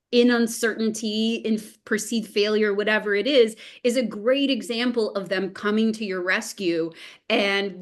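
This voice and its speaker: Earnest, encouraging woman guest. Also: medium pitch. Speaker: podcast guest, improv performer. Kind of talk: casual discussion.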